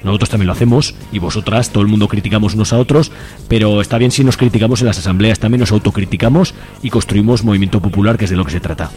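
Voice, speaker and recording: A man's voice: fairly low pitch, very fast, a little mellow. A man from Spain with a Spanish accent presenting a radio show in a casual, conversational way.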